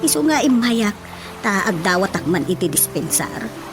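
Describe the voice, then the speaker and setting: A woman's voice: medium pitch, very animated, moderate pace, lightly acted. A woman acting a chatty, teasing village neighbor in an Ilocano radio comedy drama, with music behind her. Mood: complaining. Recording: radio drama over prominent music bed.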